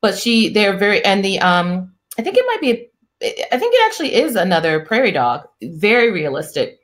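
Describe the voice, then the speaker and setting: A middle-aged woman hosting an interview, talking in a soft, soothing way with many restarts. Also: medium pitch, sing-song, normal speed. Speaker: interview host, psychiatrist. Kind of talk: casual interview chat.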